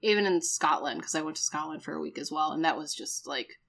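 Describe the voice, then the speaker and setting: A woman's voice: low-pitched, fast, vocal fry. A woman with a New England accent, co-hosting a podcast and telling stories in casual chat, with lots of fillers. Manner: chatty, animated storytelling with many fillers. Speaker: podcast co-host.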